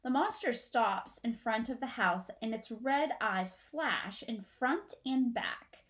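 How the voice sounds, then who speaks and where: A woman's voice: fairly high pitch, animated, slow pace. A middle-aged woman reads a children's storybook aloud like a teacher, expressively, as if to young listeners. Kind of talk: storybook read-aloud narration. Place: small furnished room indoors at home.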